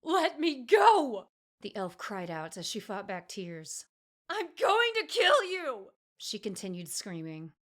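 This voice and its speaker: A woman's voice: on the low side, steady tone, moderate pace, hardly any pauses, lightly acted. A woman voicing a furious young female elf assassin who screams with her voice cracking. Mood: desperate rage.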